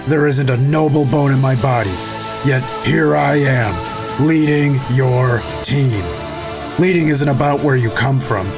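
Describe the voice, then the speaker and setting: An actor playing a blunt, tough second-in-command soldier in an audio drama, stern and challenging, with a gravelly voice. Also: male voice, mid-range voice, a little slow, music in the background, close to an everyday voice. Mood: firm, challenging.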